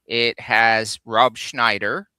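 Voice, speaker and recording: A man with a very bright, forward voice and crisp, clean tone, recorded close and dry. He co-hosts a geek show, explaining trivia in casual, chatty conversation. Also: medium pitch, little pitch movement, very slow, dry recording. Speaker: co-host of a live geek countdown show. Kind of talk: casual chat, enthusiastic explaining of film trivia.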